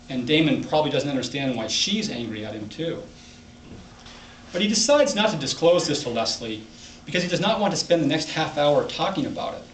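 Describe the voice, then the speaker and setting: A man reads literary fiction aloud at a live author reading. His voice sits at an average pitch and comes from some distance in an echoey room, with light noise behind it. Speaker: author reading own short story. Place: library event room, indoors.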